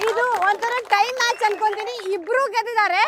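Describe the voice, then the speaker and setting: Female college event host, Kannada accent, very high voice, rallying style, loud music and cheering crowd behind. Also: fast talker. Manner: urging, repeats short phrases to the audience. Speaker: host of a college game show. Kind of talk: lively event hosting, addressing a student crowd. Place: college event with a student audience.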